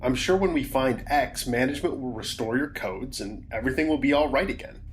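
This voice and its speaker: An actor playing a skeptical, rule-following security team member in a science fiction audio drama, with blunt, colloquial, questioning delivery. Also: male voice, mid-range voice, fairly quick, slight background noise, natural voice. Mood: reassuring.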